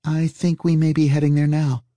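A man's voice: fairly high pitch, level tone, moderate pace, mellow, no echo, pitched above the performer's own voice, close to an everyday voice. A man voicing a guarded, dry-witted woman traveler in an audiobook, with clipped, polite, formal phrasing.

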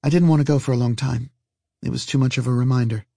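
A man's voice: mid-range voice, steady tone, quick pace, vocal fry, mellow, dry recording, voice pushed up. A man voicing a guarded, dry-witted woman traveler in an audiobook, with clipped, polite, formal phrasing.

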